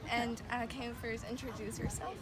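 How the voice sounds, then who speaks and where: A woman's voice: on the high side, level tone, brisk. Teen girl student asking interview questions, lots of "like", noisy street. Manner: reads questions, casual fillers. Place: outdoors on a city street. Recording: loud street traffic.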